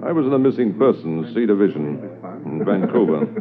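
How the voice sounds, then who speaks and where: An actor playing a calm mounted police inspector in a 1950s radio drama, steady and matter-of-fact as he reminisces. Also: low voice, a little fast, crowd noise. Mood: reflective. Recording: muffled 1950s radio recording.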